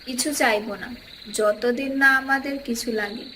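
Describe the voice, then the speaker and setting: A woman's voice: a little high, steady tone, normal speed, fairly bright tone, slight background noise, lightly acted. A woman playing a village wife in a cartoon moral tale, coaxing and persuasive as she eggs her husband on. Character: village wife, coaxing and eager for more treasure. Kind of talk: Bengali cartoon moral-story voice acting.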